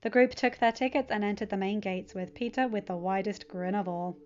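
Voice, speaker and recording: A woman's voice: medium pitch, fairly even intonation, fairly quick, darkish tone. Woman narrating a story, slightly muffled. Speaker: fan fiction audiobook narrator.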